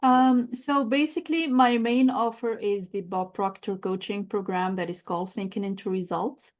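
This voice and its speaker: A woman's voice: mid-range voice, steady tone, normal speed. A woman whose first language is Arabic, speaking as a coach in a podcast interview, reflective and searching for words. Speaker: guest coach.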